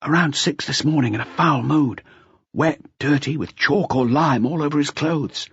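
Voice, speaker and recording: A man voicing an eager, chatty junior police detective who briskly confides his report to the chief. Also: a little high, a bit bright, slightly rough, dry recording, muffled recording, pitched above the performer's own voice, full character voice.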